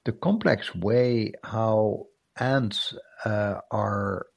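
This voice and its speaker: A man co-hosting a podcast in casual chat, losing his voice and clearing his throat, often repeating words as he restarts his thoughts. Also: on the low side, extremely slow. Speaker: podcast co-host. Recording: call-in app audio.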